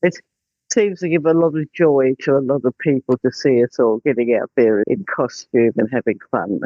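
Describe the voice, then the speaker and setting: A man's voice: medium pitch, moderate pace, no echo. A man chatting casually on an online call, giving an informal report as a participant. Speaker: meeting participant reporting from a trip. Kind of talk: casual chat. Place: online video meeting. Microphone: video call. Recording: slightly dull online call audio.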